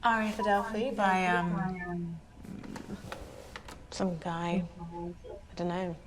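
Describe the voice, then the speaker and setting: A woman's voice: fairly low pitch, flat delivery, drawn-out words, noisy background. A woman playing an offhand, irreverent character in a movie game, with naturalistic delivery. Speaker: actress in an interactive movie game. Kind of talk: naturalistic film acting.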